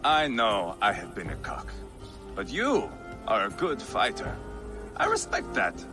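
An actor playing a brash Viking warlord who talks with sardonic, mocking humor in a game cutscene. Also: male voice, lowish voice, huge pitch swings, measured pace, over music. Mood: frank. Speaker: game character.

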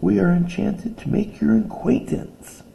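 A man doing a tiny, eager helper father, exclaiming loudly. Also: lowish voice, animated, moderate pace, vocal fry, a little hiss or hum, voice pushed up, rough recording. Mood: excited. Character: tiny, cheerful male fairy-tale helper father.

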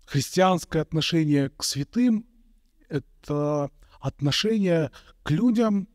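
Soft-spoken monk, slow and thoughtful. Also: male voice, a little high, lively intonation, dry recording, studio quality. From Kazakhstan. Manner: slow and thoughtful, many pauses and hesitations. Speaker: Orthodox monk and priest. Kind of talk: calm reflective interview answers. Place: bright indoor room, interview set. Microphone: studio mic on a boom in front of him.

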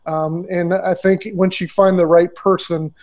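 A man in his forties speaks through earbuds on a video call from a small room. His voice sits a little high for a man. He answers in a loose, conversational way, full of fillers and restarts. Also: little pitch movement, measured pace, darkish tone. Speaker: ministry consultant. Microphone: earbud headset on video call.

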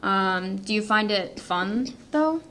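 A woman's voice: mid-range voice, expressive, slow pace, sharp tone, slight background noise. A young woman from North Carolina with a Southern American accent, hosting a casual student radio chat with lots of fillers. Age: young adult. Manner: chatty, hesitant with fillers. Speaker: radio show host and mediator.

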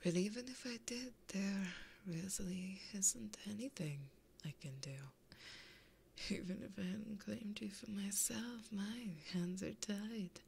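A woman voicing a flirty, possessive, taunting demon in a breathy whisper for an ASMR roleplay, speaking intimately close to a binaural microphone. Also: medium pitch, a little mellow, a little hiss or hum. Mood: possessive, seductive. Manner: slow, teasing, intimate.

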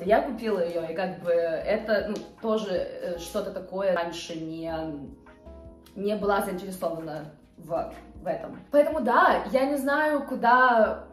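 A woman of twenty-eight talking casually to the camera from her living room couch, hesitant and full of fillers. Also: medium pitch. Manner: chatty, hesitant with many fillers, gestures with hands. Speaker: vlogger. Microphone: camera a few feet away. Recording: roomy sound with faint background music.

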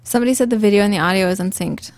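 A woman's voice: medium pitch, very fast, darkish tone. A woman, casually chatting and reading viewer questions as a livestream co-host on a desk microphone. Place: home office room, indoors. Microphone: desk microphone on boom arm.

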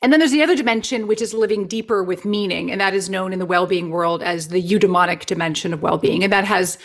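A woman in her forties, a well-being researcher talking as a guest over a video call on a headset, explaining ideas in an animated, expressive way. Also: lowish voice, quick pace. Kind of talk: enthusiastic conversational interview. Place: home living room.

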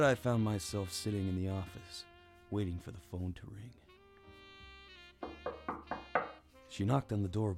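An actor playing a world-weary, hardboiled private detective, narrating a noir radio play in a dry, wry, brooding way. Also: male voice, sits low.

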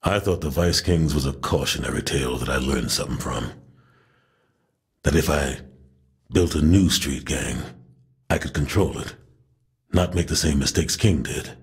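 An actor playing a weary, regretful ex-gang leader in middle age, speaking gravely and slowly in a game audio log. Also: male voice, full character voice. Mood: somber. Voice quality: very deep, gravelly, husky.

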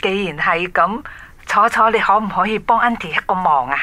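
A woman's voice: mid-range voice, fairly quick, close to an everyday voice. An actress playing a friendly, open-minded middle-aged mother in a radio drama, motherly, inquisitive and coaxing. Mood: concerned kindly. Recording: background music under studio drama dialogue.

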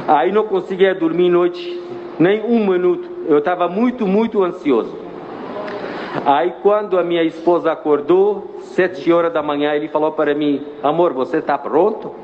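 A middle-aged man from Iraq, giving an earnest personal religious testimony in halting, phrasing. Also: a little high. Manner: earnest, halting non-native Portuguese. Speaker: church member giving testimony. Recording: dull, reverberant with faint noise.

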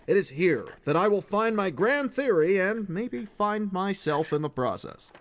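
A man's voice: high-pitched, very animated, measured pace. A man voicing an eccentric, obsessive middle-aged paranormal researcher, reading his journal as dramatic audiobook narration in an erudite, emphatic way. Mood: reflective. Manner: theatrical, erudite, emphatic delivery.

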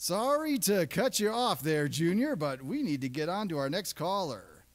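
A woman's voice: lowish voice, very animated, normal speed. A woman playing an upbeat, patient male radio DJ puppet, with showy, polite comic patter.

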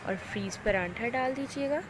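High-voiced woman teaching a recipe. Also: a little fast. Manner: calm, instructive, addresses viewers directly. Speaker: cooking channel host. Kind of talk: step-by-step cooking tutorial voice-over. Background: loud background music.

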